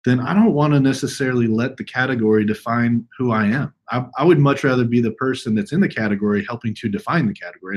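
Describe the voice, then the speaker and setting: A man in his thirties with a husky voice hosting a conversational podcast interview, making his points emphatically and restating them. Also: medium pitch. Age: 30s. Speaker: podcast host.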